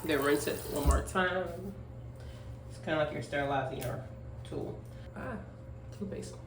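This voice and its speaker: A woman's voice: low-pitched, unhurried. Chatty young woman in an echoey room. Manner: chatty, rambling, filler-heavy, emphatic "okay?" asides. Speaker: vlogger, young single mother. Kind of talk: casual chat while grooming. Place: at home. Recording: echoey room, voice off-mic, faint noise.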